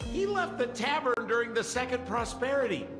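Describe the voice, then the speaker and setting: An excitable, wily old informant from a video game, high-pitched and wildly swooping. He takes his time over theatrical, teasing lines, in a reverberant space with music underneath. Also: normal speed. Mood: sly, storytelling. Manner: theatrical, teasing storyteller with dramatic exclamations. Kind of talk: animated video game acting.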